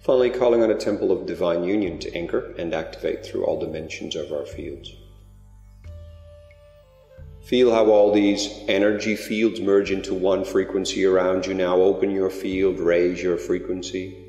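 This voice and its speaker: A man leading a guided meditation in a slow, gentle, drawn-out way. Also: deep voice. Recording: roomy reverberant recording with soft music. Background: new-age music.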